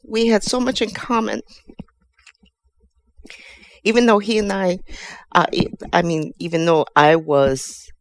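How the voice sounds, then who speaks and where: A middle-aged woman from Chicago with an American accent, giving a halting, emotional spoken tribute to a friend. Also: medium pitch, fairly even intonation, dry recording. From Chicago, USA. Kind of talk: heartfelt spoken tribute, personal reminiscence.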